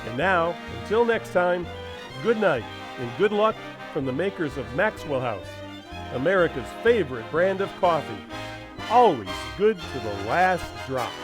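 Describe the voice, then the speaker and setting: A man working as an announcer, reading in the style of 1950s radio sign-offs and sponsor spots. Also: on the high side.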